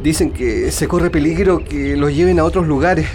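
Actor as earnest, higher-voiced Chilean man. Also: moderate pace, background noise, natural voice. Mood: soothing, sorry. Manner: earnest, insistent, repeating reassurances. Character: earnest man bearing bad news, soothing and urgent. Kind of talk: radio drama acting.

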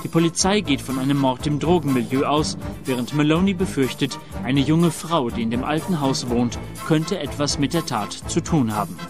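A male announcer giving the series title and a formal recap of the earlier episodes. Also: mid-range voice. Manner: measured, formal. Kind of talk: radio play announcement and recap. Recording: voice over music.

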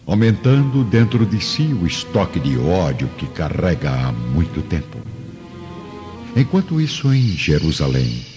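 A man's voice: sits low, a little mellow, muffled recording. A man with a Brazilian accent narrating a radio drama in a scripted, theatrical style.

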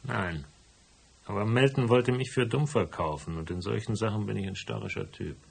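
A hardboiled private detective for a German radio play, in a deep voice with a husky, creaky edge. He is laconic and stubborn, laying things out in a dry, matter-of-fact way. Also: normal speed. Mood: dry, explaining. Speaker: voice actor and first-person narrator. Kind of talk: German radio drama, dialogue and narration.

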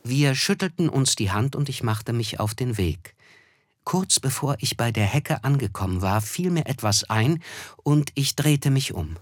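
An actor playing a reflective, melancholy narrator who looks back, telling a literary radio drama in measured, thoughtful phrases. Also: male voice, mid-range voice, lively intonation, no echo. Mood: plain, measured.

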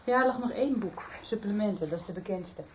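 A woman's voice: mid-range voice, fast, slight background noise, natural voice, low-quality recording. An actress in a radio soap playing a curious, thoughtful woman with a hesitant, questioning manner. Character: curious, thoughtful woman following a book mystery. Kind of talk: 1990s radio soap acting.

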